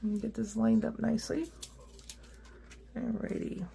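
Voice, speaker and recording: A woman with a rough, hoarse voice hosting a casual craft how-to, chatty and upbeat with frequent ums and uhs as she works at her craft table. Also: on the high side, fairly even intonation, normal speed, mellow, slight background noise. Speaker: craft tutorial host.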